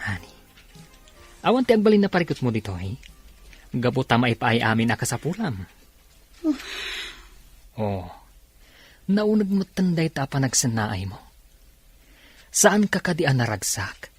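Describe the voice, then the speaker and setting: Animated, possessive Ilocano husband. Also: male voice, mid-range voice, moderate pace, long pauses, slightly rough, soft background music. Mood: coaxing. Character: possessive new husband, demanding obedience. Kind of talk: Ilocano radio drama acting.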